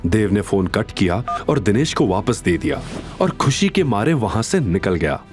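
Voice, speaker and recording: A man tells a dramatic scripted story with very animated intonation. His delivery is theatrical and suspenseful, with music underneath. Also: fairly low pitch, normal speed. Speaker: audio drama narrator.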